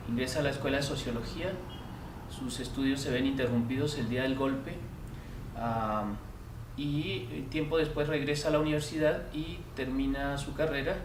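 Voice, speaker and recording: Hesitant Chilean man, distant and roomy. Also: medium pitch. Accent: Chilean Spanish. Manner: hesitant, many filler sounds and restarts. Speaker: artist and film teacher. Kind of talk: reflective interview recollection. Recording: roomy, distant mic with noise.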